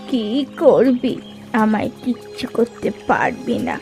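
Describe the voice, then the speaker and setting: Slow, creaky old village woman, acted by a woman. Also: on the high side, very animated, full character voice. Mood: bitter, defiant. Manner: slow, defiant, vengeful. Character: bitter, grieving old village woman who cursed two villages. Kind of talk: cartoon voice acting. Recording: music behind the voice.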